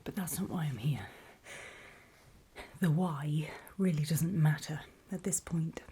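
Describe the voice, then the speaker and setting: A woman playing a wary, paranoid zombie apocalypse survivor in a horror ASMR roleplay, in a soft breathy whisper with hesitant, confiding delivery. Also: low-pitched, slight background noise. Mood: hushed, wary warning. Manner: slow, hesitant, confiding, with pauses.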